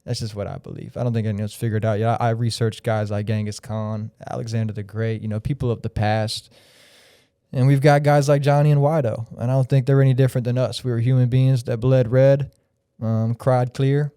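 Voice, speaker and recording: A man in his thirties from Florida, talking as a podcast guest. His voice is warm, mellow and resonant, and it sits close and dry on the mic. He rambles in a reflective, freewheeling way. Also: mid-range voice, flat delivery, fairly quick, smooth, no echo. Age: 30s. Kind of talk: casual podcast chat.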